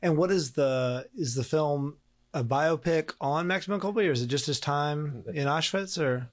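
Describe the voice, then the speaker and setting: A man with a fairly high voice runs a casual interview. He asks brief, direct questions and drops short agreements in between. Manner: brief questions and quick backchannel replies.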